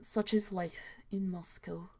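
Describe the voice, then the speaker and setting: A woman reading parody horror stories in an inaccurate mock Russian accent, dropping articles in clipped broken grammar as a deadpan narrator. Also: medium pitch, measured pace.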